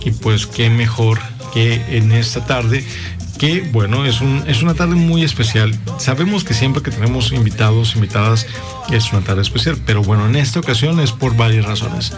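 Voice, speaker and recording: A man hosts a live radio show from a studio, chatty and expressive in his delivery. Music plays clearly beneath his voice. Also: medium pitch, normal speed. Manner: warm, chatty, expressive, lively intonation. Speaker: radio host. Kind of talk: live university radio chat.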